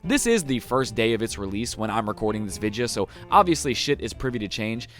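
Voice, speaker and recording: A man's voice: lowish voice, very animated, a little fast, fairly bright tone. A young American man reviewing a video game for viewers in a casual, slangy, profane and emphatic way. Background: music under the voice.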